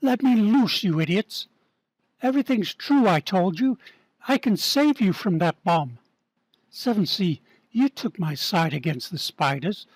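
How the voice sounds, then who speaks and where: A man's voice: high voice, expressive, measured pace, dry recording, low-quality recording. An actor playing a desperate young British man held captive, pleading in upper-class slang, in an amateur radio play reading. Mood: frantic.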